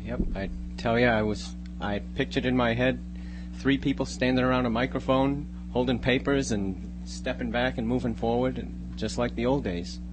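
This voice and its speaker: Male writer as interview guest, mid-pitched voice, casual conversational delivery, studio recording with clear noise and hum. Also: fairly even intonation, normal speed. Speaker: guest, scriptwriter. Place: radio studio.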